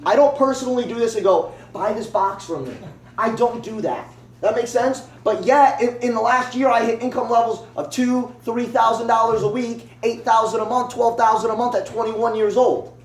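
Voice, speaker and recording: A young man in his twenties, high-voiced, delivers a joking, repetitive motivational pitch to a crowded living room. He is picked up from across the room, so his voice sounds distant and roomy. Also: fairly even intonation. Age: 20s. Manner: joking, fast and repetitive pep talk. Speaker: network marketing presenter. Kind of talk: motivational talk to a home meeting. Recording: echoey room, voice from far off.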